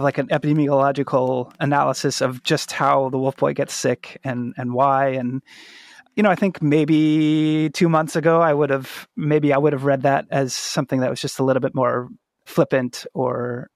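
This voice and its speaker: A man's voice: mid-range voice, steady tone, normal speed, dry recording, studio quality. A man hosting a thoughtful conversational podcast interview, reflecting tentatively and rephrasing as he goes.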